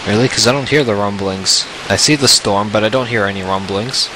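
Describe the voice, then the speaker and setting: A man's voice: on the low side, brisk, heavy background noise. A man giving casual, reacting commentary as the host of a gaming video. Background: game music.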